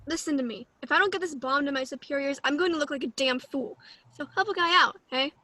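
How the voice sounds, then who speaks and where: A woman's voice: high voice, fast talker, pushed and bright, no echo. A woman playing a cocky, bumbling male secret agent with a flippant, breezy manner in a comedy script read.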